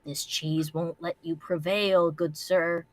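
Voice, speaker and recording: A woman's voice: fairly low pitch, little pitch movement, slow, no echo, low-quality recording. A woman voicing an earnest, defiant, wounded male cartoon pony in a story reading.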